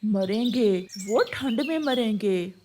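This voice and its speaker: Woman doing a worried gloomy pigeon in a kids cartoon, lowered voice, slow. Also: animated, dark tone, a little hiss or hum. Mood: concerned. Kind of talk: children's cartoon dubbing.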